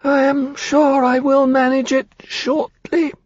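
A man voicing a small, weak newly hatched dragon in a thin, pale, halting voice, as in a fantasy audiobook. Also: very high-pitched, little pitch movement, dry recording, muffled recording, pitched above the performer's own voice. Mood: weak, hopeful. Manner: slow, faint, halting.

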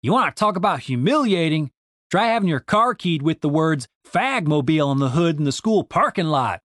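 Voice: medium pitch, expressive, moderate pace, fairly bright tone, no echo, studio quality, lightly acted. A narrator voicing a big young man, sardonic and exasperated, with a casual grumbling manner, as audiobook dialogue.

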